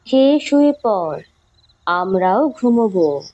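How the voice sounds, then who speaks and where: A woman voicing an affectionate elderly village aunt in a horror cartoon, speaking tenderly and motherly with drawn-out words. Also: medium pitch, very animated, darkish tone, lo-fi sound, pitched below the performer's own voice. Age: older.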